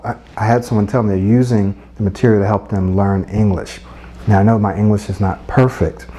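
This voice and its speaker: A middle-aged man giving a motivational speech-coaching lesson, earnest and repetitive, with a husky voice. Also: fairly low pitch, warm tone.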